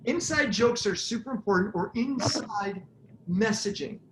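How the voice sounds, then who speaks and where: A middle-aged man co-hosting a relationship talk show, jokey and animated, prompting his partner to explain. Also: high voice, unhurried, slight background noise. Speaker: podcast co-host. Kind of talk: casual chat. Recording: echoey room, faint ambience.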